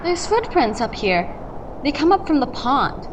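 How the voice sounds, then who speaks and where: A woman's voice: sits high, big pitch swings, a little slow, background noise. A woman voicing a male state trooper in a school radio play, speaking in a dry, matter-of-fact way. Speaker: student actor.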